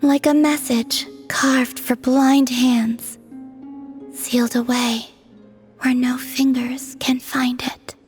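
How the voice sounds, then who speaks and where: A woman voicing a serene, melancholy young moon goddess, breathy and whispery, speaking softly and poetically in a video game dub. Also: high-pitched, level tone, soft background music. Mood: wistful. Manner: soft, slow and poetic.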